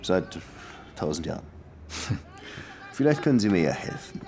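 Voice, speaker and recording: An actor playing a weary, sardonic police detective in a German radio crime drama, speaking in a dry, probing way. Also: male voice, sits low, big pitch swings, a little slow, a little mellow, heavily acted.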